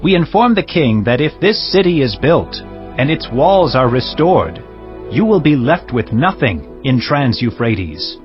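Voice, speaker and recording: A stern official of an ancient Persian province, addressing his king in formal, accusing declamation. His voice sits at a middle pitch. The recording is a little dull, with loud music behind it. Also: very animated, a little slow. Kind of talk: dramatized scripture reading.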